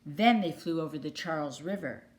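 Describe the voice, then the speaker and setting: A woman from the United States reads a picture book aloud for children. Her voice sits a little lower than most women's. She narrates as a storyteller would. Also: moderate pace. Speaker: storybook reader.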